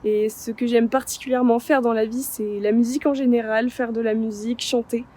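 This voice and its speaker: An earnest woman in her twenties speaks steadily. Her voice is fairly high but warm and mellow rather than bright. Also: steady tone, a little fast. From France. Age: 20s. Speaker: team member introducing herself. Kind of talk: prepared self-introduction.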